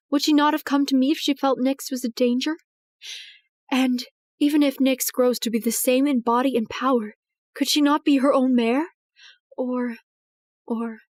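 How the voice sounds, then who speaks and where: A woman voicing a regal, protective pony princess in an audio drama, speaking formally and earnestly with measured, anxious gravity. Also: high voice, darkish tone, no room sound, clean studio sound, lightly acted. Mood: anxious, pleading. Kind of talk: dramatized audio fiction reading.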